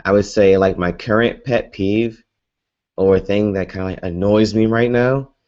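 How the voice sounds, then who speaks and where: A man's voice: fairly low pitch, fairly even intonation, a little slow, dull audio. A young American man chatting casually in a live Q&A vlog, rambling with many fillers and restarts. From United States. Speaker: vlogger.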